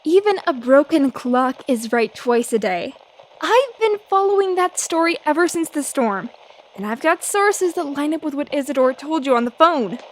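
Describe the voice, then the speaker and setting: An actress playing a brash, tenacious 1930s newspaper reporter, feisty and full of snappy period slang. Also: female voice, high voice, expressive, moderate pace, slight background noise, poor audio. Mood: insistent. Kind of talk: old-time radio drama acting.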